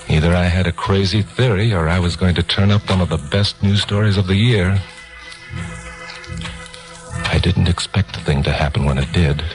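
A low-pitched American man acting a hardboiled, wise-guy newsman in an old radio crime drama. He speaks in terse, sardonic lines with a dramatic edge. Music plays behind him on the 1950s broadcast recording. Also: close to an everyday voice, poor audio. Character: tough, wry wise-guy news commentator turned crime investigator. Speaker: narrator and lead actor of a radio crime serial.

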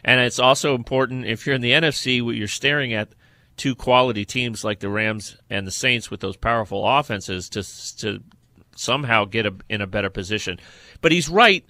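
A man hosting a sports podcast, talking football in a halting way with repeated words. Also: medium pitch, sharp tone, no echo. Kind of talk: football discussion.

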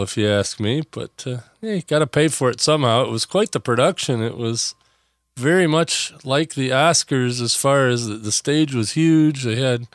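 Hoarse guy rambling thru his podcast, lots of uhs, smooth resonant voice anyway. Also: mid-range voice, dry recording, clean studio sound. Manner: rambling, many "uh" and restarts. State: hoarse, recovering from lost voice. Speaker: podcast host. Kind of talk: casual solo podcast chat.

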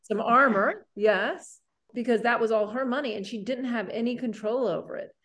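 A woman's voice: on the high side, normal speed, a bit bright. A woman co-hosting an educational discussion, explaining things in an earnest manner. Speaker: show co-host.

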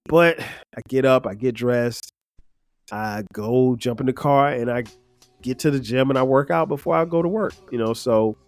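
A man in his fifties, his voice slightly nasal and stuffed-up, talks through a solo podcast in a loose, meandering way, full of fillers and restarts. His voice sits at an ordinary middle pitch. Faint music plays quietly underneath. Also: moderate pace. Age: 50s. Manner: relaxed, rambling, frequent fillers and restarts. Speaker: podcast host. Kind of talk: casual solo podcast reflection.

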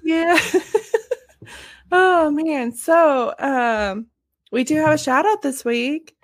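A woman with a Southern US accent co-hosting a casual podcast, animated and quick to laugh. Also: high voice. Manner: giggly, animated, laughs often. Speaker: podcast co-host. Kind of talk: casual podcast chat, livestreamed.

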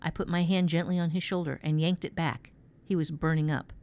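Storybook first-person boy narrator read by a woman: steady, protective, measured, slightly low female register. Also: close to an everyday voice. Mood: worried. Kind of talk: scripted fantasy story reading.

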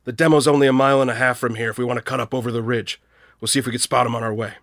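An actor playing a gruff, guilt-ridden middle-aged small-town American man in an audio drama, husky-voiced, blunt and folksy. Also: mid-range voice, steady tone, very fast, a bit bright, dry recording. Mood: wry, pressing. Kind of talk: naturalistic audio drama acting.

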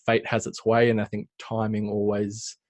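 A man's voice: on the low side, completely flat delivery, normal speed, a little mellow. A man in his thirties, a small business owner, talking reflectively in a casual interview with many fillers. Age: 30s.

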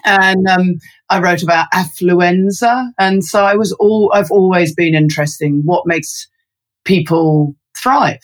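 A woman's voice: lowish voice, measured pace. Chatty woman with lots of ums. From London, England. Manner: thoughtful, many fillers. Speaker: interview guest, resilience researcher. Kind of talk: casual interview talk.

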